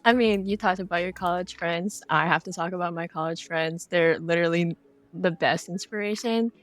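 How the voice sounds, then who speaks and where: A woman's voice: lowish voice, normal speed, faint music behind. A woman answering earnestly and casually as a creator being interviewed. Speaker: game creator, interview guest.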